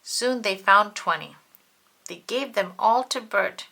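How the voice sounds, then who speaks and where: A woman narrating a children's storybook aloud in an expressive way, made for acting out the characters. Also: medium pitch, slow, creaky. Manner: animated, acts out character dialogue.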